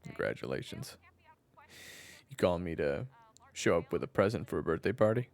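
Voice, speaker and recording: A man playing a very deep, gravelly, deadpan ex-thief. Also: normal speed, heavily acted. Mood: sardonic. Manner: deadpan, dry humor. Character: jaded, dryly sarcastic former thief and detective-like dad. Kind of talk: audio roleplay acting, phone conversation.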